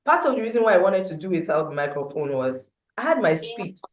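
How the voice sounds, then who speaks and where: A woman tells her story in a low, loud voice, her pitch and volume swinging as she talks. The sound carries a roomy echo. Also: fairly quick. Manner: animated storytelling with big swings in pitch and loudness. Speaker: motivational speaker. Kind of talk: storytelling talk at a breakfast meeting.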